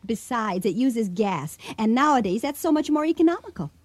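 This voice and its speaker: Radio drama: sweet, evasive wife, very lively high voice. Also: female voice, moderate pace, dry recording. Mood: nervously cheerful.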